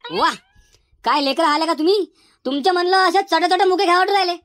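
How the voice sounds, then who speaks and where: A man voicing an exasperated village schoolteacher in a comedy cartoon, hectoring in a Varhadi Marathi accent through a pitched-up effect. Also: brisk, a bit bright, no room sound. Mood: scolding, despairing. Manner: hectoring, repetitive, threatening.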